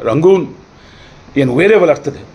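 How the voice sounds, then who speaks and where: A man playing a wronged, defiant accused brother pleading his case in court, declaiming in theatrical old Tamil film oratory with dramatic pauses. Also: a little high, lively intonation, vocal fry, darkish tone. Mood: proud, impassioned.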